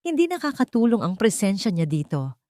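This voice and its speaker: A woman voicing a scheming young fiancée in a story, sweetly manipulative, soft, coaxing and insinuating. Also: mid-range voice, very animated, a little fast, no room sound, studio quality, natural voice.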